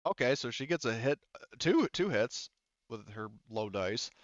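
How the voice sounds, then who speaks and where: A man running a tabletop game as game master, narrating and thinking aloud in a halting way. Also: medium pitch, very animated, dry recording, dull audio.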